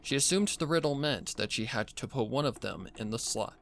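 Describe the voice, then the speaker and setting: Male story narrator over clear background noise. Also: mid-range voice, lively intonation, fairly quick, a bit bright. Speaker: narrator reading fan fiction. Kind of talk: dramatic scripted story narration.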